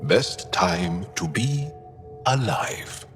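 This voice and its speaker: An actor playing a hearty, philosophical alien comrade with deliberate, emphatic delivery in a video game. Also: male voice, low voice, animated, a bit bright, long reverb tail, soft background music, exaggerated voice. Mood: jubilant, warm.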